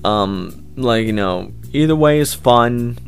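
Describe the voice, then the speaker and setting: A man's voice: medium pitch, expressive, slow pace, creaky. A man giving casual, rambling, unscripted interview answers as an amateur voice actor, informal and keen on the work. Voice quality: loud voice. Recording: music playing behind the voice.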